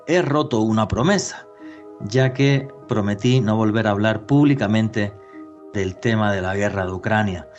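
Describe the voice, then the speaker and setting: A man with a lowish voice, hosting a radio podcast. He talks in an earnest, opinionated, expansive way, with faint music playing behind him. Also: dull audio. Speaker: radio host. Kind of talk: podcast interview introduction.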